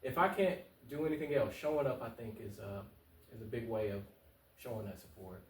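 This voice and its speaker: Fast-talking man, echoey room. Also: medium pitch. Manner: passionate, informal, repeats phrases for emphasis. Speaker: interview guest, local political candidate. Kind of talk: casual, earnest talk-show interview answers. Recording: roomy echo, distant mic.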